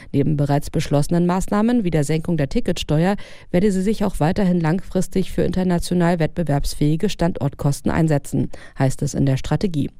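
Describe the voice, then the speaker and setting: A woman's voice: on the low side, mellow, dry recording, clean studio sound. A woman delivering a scripted radio news report.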